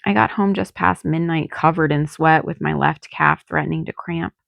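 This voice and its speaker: A woman's voice: low-pitched, steady tone, normal speed, creaky, a bit bright, dry recording. A woman narrating a first-person novel as an audiobook, reading expressively and acting out the drama. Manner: dramatic, acts out dialogue. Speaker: author reading own novel.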